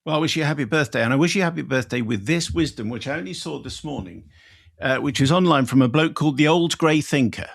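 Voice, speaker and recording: A man's voice: on the high side, fast, gravelly. A man co-hosting a casual podcast chat, prodding his partner with dry, teasing questions. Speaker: co-host.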